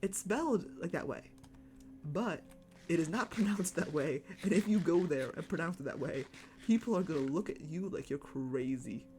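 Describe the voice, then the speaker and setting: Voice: mid-range voice, lively intonation, moderate pace, darkish tone, soft background music. A streamer with a Chicago accent, chatting casually and rambling while playing a game at home. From United States. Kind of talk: casual live-stream chat while gaming.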